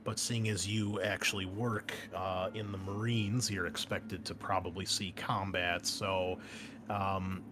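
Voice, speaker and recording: A man's voice: on the low side, background noise. A man explaining tabletop game rules casually as game master, thinking aloud as he goes. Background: background music.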